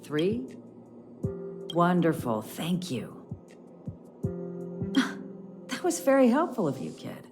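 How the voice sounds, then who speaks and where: A woman playing a patient scientist in a video game, giving measured instruction over music. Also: fairly low pitch, wildly swooping pitch, heavily acted. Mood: brisk, instructive. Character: busy, patient female scientist instructing a youngster. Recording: loud music behind the voice.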